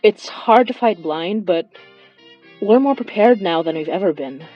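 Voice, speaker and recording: A woman playing a weary, affectionate young monster hunter confiding haltingly over a phone call in an audio drama. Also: mid-range voice, expressive, soft background music. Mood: grimly determined. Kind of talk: intimate audio drama monologue.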